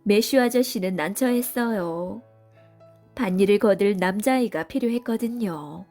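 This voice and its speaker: A woman's voice: medium pitch, normal speed, dark tone, faint music behind. A woman reading scripted narration for a children's story as a storybook narrator.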